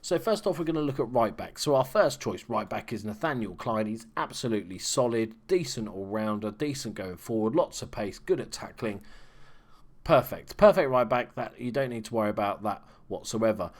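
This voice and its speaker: A man's voice: fairly low pitch, normal speed. A young man with a Scouse accent, chatting casually as he talks through a football management game, often stopping to correct himself. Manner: chatty, relaxed, self-correcting. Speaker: video game guide host. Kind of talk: casual game commentary over footage.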